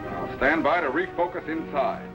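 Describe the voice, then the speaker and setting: A man's voice: fairly high pitch, very animated, normal speed, loud music behind the voice. A middle-aged man playing a commanding, learned time-lab captain in a 1950s television serial, clipped and precise. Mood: instructive, assured. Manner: clipped, authoritative, precise orders. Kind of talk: 1950s TV science fiction acting. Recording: noisy 1950s film soundtrack.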